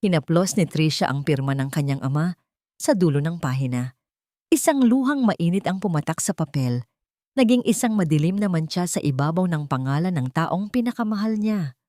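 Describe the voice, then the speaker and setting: A woman narrates a dramatic story in a low, grounded voice. Her delivery is measured and steady, and the recording is dry and close with no room sound. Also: dry recording.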